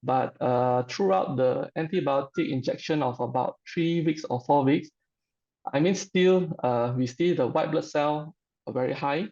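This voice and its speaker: A middle-aged man with a Malaysian accent, giving an informal personal testimonial in a halting, hesitant way. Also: fairly high pitch, darkish tone, lo-fi sound.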